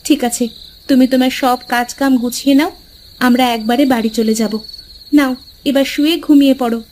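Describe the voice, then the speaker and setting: A woman's voice: high-pitched, steady tone, moderate pace, a little mellow, slight background noise, lightly acted. A woman voicing a caring, dutiful young village wife in a cartoon, speaking earnestly. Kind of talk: Bengali cartoon dialogue.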